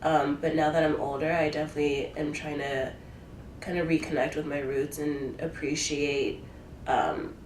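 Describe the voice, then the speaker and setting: Low-voiced young woman, echoey and distant. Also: level tone, normal speed, a little mellow, slight background noise. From Ohio, USA; born in Taiwan. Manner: hesitant, many fillers like "um" and "like". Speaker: interviewee. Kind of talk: casual answers to interview questions.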